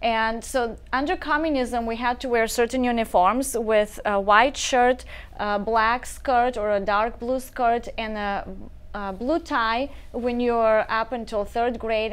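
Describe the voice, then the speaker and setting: Smooth-voiced Bulgarian woman guest. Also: fairly high pitch. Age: 30s. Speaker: guest, nonprofit founder. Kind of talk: talk show interview.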